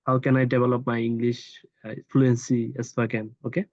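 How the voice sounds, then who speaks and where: A man's voice: medium pitch, level tone, normal speed, darkish tone, no room sound. A man from Bangladesh with a Bangladeshi accent, a freelancer speaking hesitant English with many fillers on a video call. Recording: dull dry video call audio.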